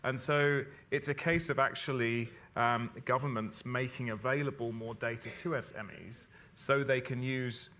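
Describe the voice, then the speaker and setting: A man's voice: medium pitch, steady tone, moderate pace, hardly any pauses, bright, forceful tone, slight background noise. A man answering as a panelist in an explanatory way, often repeating words as he starts a phrase. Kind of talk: panel discussion.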